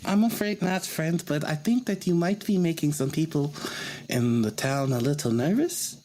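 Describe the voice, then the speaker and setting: A man's voice: a little high, lively intonation, moderate pace, dark tone, close to an everyday voice. A man playing a polite, evasive nanny in a tabletop game, speaking courteously with some hesitation. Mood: polite, cautioning. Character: polite, calmly evasive nanny named Tabitha.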